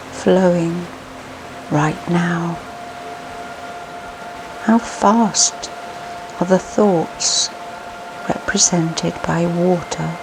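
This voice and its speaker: A woman narrating a scripted guided sleep meditation in a soothing, hushed manner with long pauses. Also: on the low side, gravelly, noisy background. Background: music.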